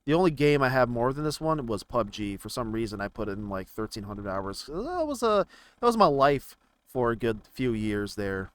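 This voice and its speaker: A man with a clear, smooth, mid-pitched voice talks casually about video games. He rambles and halts as he thinks out loud while browsing. The recording is close and dry, with no room sound. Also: big pitch swings, moderate pace, no room sound. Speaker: gaming video creator.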